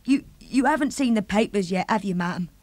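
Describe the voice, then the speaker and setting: A woman's voice: medium pitch, expressive, darkish tone, no room sound, close to an everyday voice. A woman reading aloud and voicing a nervous, deferential middle-aged maid who frets and stays respectful. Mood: shaken. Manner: flustered, respectful, quick to fret.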